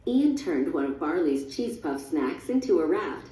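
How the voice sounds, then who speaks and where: Mellow woman narrating a storybook, roomy sound. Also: low-pitched, big pitch swings, unhurried. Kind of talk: scripted read-along storybook narration. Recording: roomy, distant, music underneath.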